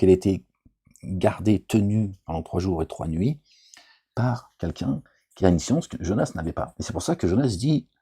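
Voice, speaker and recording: Middle-aged male commentator: deep dark rasp, meandering preachy chat that keeps checking in with his audience. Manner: digressive, asks "d'accord?" often, jokey asides. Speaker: religious commentator. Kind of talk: informal Quran commentary, rambling.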